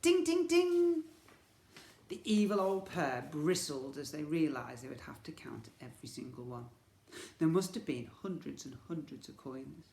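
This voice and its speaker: A man reading a children's story as a teacher would, with dramatic, expressive narration. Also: a little high, moderate pace, gravelly. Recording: echoey room.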